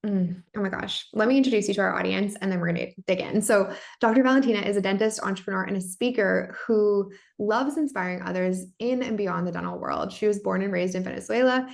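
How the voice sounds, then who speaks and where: A woman's voice: medium pitch, fast, gravelly, few pauses, darkish tone. A woman hosting a podcast, reading a scripted welcome and then interviewing her guest with gushing enthusiasm. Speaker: podcast host.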